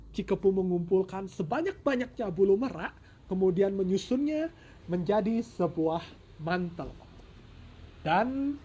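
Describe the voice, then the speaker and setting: A storyteller with a mid-pitched voice narrates a folk tale in a playful, informal way. The delivery is dramatic and loosely improvised, with asides and self-corrections. Faint noise sits behind the voice in a home recording. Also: warm tone. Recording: noisy home recording with ambient sound.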